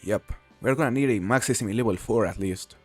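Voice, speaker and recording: A man's voice: on the low side, fairly quick, faint music behind. A man from Mexico hosting a casual game live stream, chatting with viewers while he plays. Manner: relaxed, chatty, answers viewers while playing. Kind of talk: casual live-stream commentary, reading chat.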